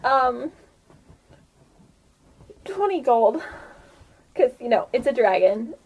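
High-voiced woman running a tabletop game. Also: sing-song, takes it slowly, husky, highs cut off. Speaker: game master. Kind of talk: narrating a casual tabletop roleplaying game.

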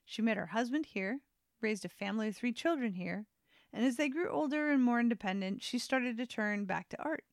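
A woman's voice: a little high, lively intonation, brisk, no room sound, studio quality. A woman host narrating a feature in a conversational, storytelling way. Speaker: host and interviewer.

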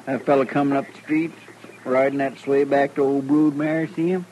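An actor playing a folksy, hard-up frontier sidekick with a rural Western drawl in an old-time radio western. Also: male voice, mid-range voice, flat delivery, normal speed, darkish tone, lightly acted. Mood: easygoing, grumbling. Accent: rural Western American drawl. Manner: folksy, rambling, colloquial. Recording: noisy old radio drama recording.

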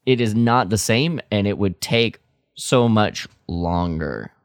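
A man co-hosts a podcast in loose, casual conversation. His voice is fairly low but swings about in lively fashion. He starts and stops as he works out his point, in a very dry recording. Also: takes it slowly, no room sound. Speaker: podcast co-host. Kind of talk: casual chat.

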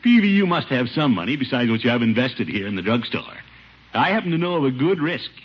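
An actor playing a blustering, needy middle-aged American neighbor in a 1950s radio comedy, exclamatory and easily flustered. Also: male voice, mid-range voice, sing-song, fast, lo-fi sound, close to an everyday voice. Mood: persuading. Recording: noisy, band-limited 1950s radio recording.

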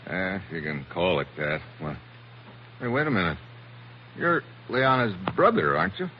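Hero: tough wisecracking secret agent, lowish pitch, muffled and slightly noisy vintage radio recording. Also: male voice, big pitch swings, moderate pace. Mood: groggy, wry. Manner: wry, hard-boiled, casual. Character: tough, wisecracking American male special agent. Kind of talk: 1940s radio adventure acting.

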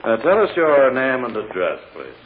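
An American actor playing a businesslike courtroom prosecutor who hesitantly coaxes a witness along in an old-time radio drama. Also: male voice, medium pitch, sing-song, moderate pace, close to an everyday voice. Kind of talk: 1940s-50s American radio drama acting. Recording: tinny, noisy old radio recording.

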